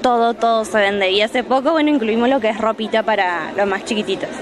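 Young woman at a fair stall, bit high voice, crowd behind. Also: fairly quick. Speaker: craft vendor. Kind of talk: casual interview. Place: large indoor fair hall. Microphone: handheld mic.

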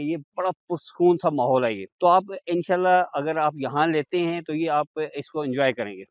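A man's voice: fairly high pitch, no room sound, muffled recording. A middle-aged man with a Pakistani accent giving an informal, explanatory sales walk-through as a real estate agent, pointing things out as he goes. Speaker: real estate agent presenting a site visit. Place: outdoors on a forested hillside road.

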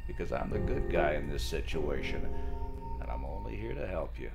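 A man's voice: a bass voice, sing-song, moderate pace, creaky, loud music behind the voice, heavily acted. A man playing a pushy, hard-boiled film-noir detective, interrogating in a tough-talking melodramatic style. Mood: menacing.

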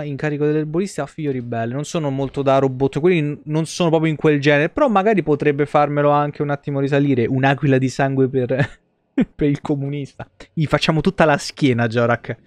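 A man's voice: on the high side, no echo. A man giving casual, foul-mouthed and jokey live game commentary in slangy speech. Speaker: video game streamer.

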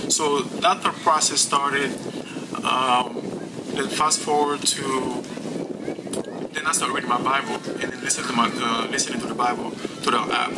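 A man's voice: mid-range voice, steady tone. Haitian-born man giving an outdoor testimony. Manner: unhurried, halting, many ums and restarts. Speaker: church member giving testimony. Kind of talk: personal testimony to a gathering. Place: outdoors by a lake. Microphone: stand mic, filmed from a distance. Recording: outdoor ambience and noise.

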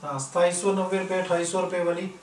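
A man's voice: high-pitched, completely flat delivery, fast. A man with a Pakistani accent giving an informal, explanatory product demonstration as a shop seller. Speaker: shop seller, video host. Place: indoor room, goods spread on floor. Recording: roomy, distant mic, faint noise.

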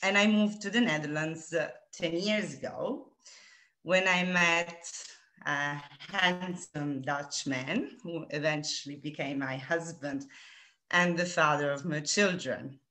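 A woman's voice: low voice, takes it slowly, bright, forceful tone. A woman from Italy with an Italian accent, giving a virtual tour presentation over a video call with many hesitant fillers. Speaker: tour director. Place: home room.

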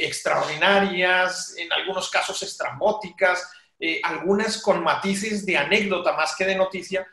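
A man's voice: a little high, bright, forceful tone. A man, speaking formally and articulately as a journalist on a panel by video call. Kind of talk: measured panel discussion. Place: small home office, on a video call. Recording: echoey room over video call.

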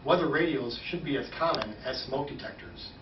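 Man, forties, measured official spokesman, scripted delivery, medium pitch, slightly dull recording with faint noise. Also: echoey room, recorded from a distance. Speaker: government weather service spokesman. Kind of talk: scripted informational presentation. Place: small indoor operations room, standing at a podium.

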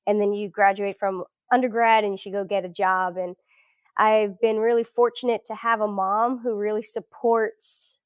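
A woman's voice: medium pitch, level tone, normal speed, clear, resonant tone, no room sound, studio quality. A woman in her thirties from South Florida, chatting as a guest in a casual interview, with many fillers and restarts. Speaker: guest researcher.

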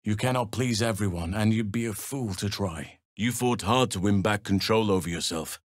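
An actor playing an earnest, battle-seasoned druid and protector of nature in a fantasy video game, speaking in a measured, solemn manner with formal, old-fashioned phrasing. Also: male voice, on the low side, no echo, clean studio sound, close to an everyday voice. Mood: grave, earnest.

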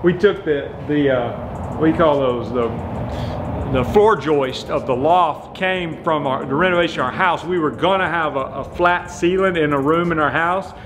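A man's voice: on the high side, moderate pace, noisy background. A man, casually showing off his workshop in a large metal pole barn, rambling with many restarts and self-deprecating asides. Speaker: lawn care business owner showing his shop. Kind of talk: casual shop tour chat.

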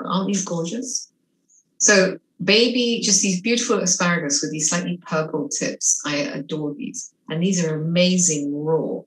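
A woman's voice: fairly low pitch, a bit bright. A middle-aged woman with a mixed French and English accent, chatting enthusiastically through a cooking demonstration in her home kitchen on a video call. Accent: French and English mix. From half French, half English. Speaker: cooking instructor. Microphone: video call webcam. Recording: echoey kitchen with faint noise.

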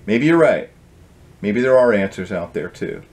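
A man's voice: fairly low pitch, animated, normal speed, darkish tone, natural voice. A man voicing a guarded, fearful, stubborn lobster in a storybook, speaking in a curt, contrary manner. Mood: wary.

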